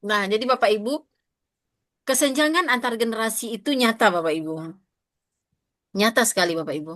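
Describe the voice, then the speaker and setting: A woman in her thirties with an Indonesian accent lectures a class of teachers. She keeps turning to her listeners with questions and fills her pauses with hesitations. Her voice is recorded close and dry, with no room sound. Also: on the high side, a bit bright, no echo. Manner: addresses audience often, asks questions, frequent fillers. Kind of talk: interactive teacher-training lecture.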